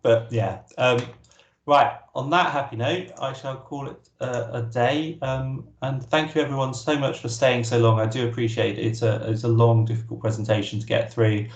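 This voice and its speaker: A man's voice: medium pitch, fairly even intonation, normal speed, dull audio, a little hiss or hum. A man presenting an online workshop, answering questions casually with frequent restarts. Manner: casual, hesitant, many restarts. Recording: roomy echo.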